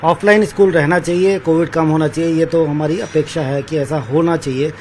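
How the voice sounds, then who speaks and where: A man giving his opinion in an unscripted interview, pausing to find words. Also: fairly high pitch, fairly even intonation, darkish tone. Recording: street interview with faint traffic noise.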